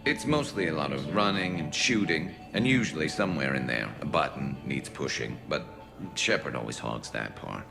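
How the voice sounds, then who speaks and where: An actor playing a dry-witted, seasoned alien sharpshooter in a video game, gravelly and wry. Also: male voice, extremely low pitch, normal speed, over music. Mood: wry, amused.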